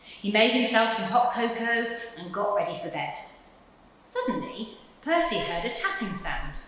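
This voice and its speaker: Female children's story reader: dramatic emphasis, swooping intonation, measured speed, strong room echo. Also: mid-range voice, very animated, a bit bright, slight background noise. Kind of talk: expressive children's picture-book reading. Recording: very echoey room.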